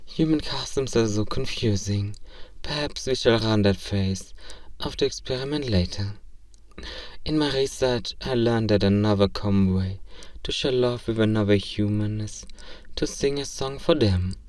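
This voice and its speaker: A man's voice: lowish voice, normal speed, a little mellow, no room sound, recorded from a distance, lightly acted. A man voicing an earnest, curious robot learning about human love in a comedic role-play, speaking in formal, literal, stiltedly polite phrases. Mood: curious, clinical. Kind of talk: ASMR role-play comedy audio drama.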